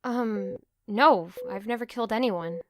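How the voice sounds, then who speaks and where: A woman's voice: fairly high pitch, unhurried, a little mellow, slight background noise, lightly acted. A woman playing a nervous, naive young vault dweller in an audio drama, hesitant and wary in her delivery. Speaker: voice actor.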